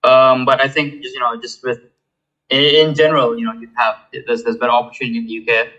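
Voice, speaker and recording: A young man in his twenties from Malaysia, a guest in a casual interview, talking in a halting way with many fillers and restarts. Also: mid-range voice, fairly even intonation, sharp tone, highs cut off. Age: 20s. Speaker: guest, student founder.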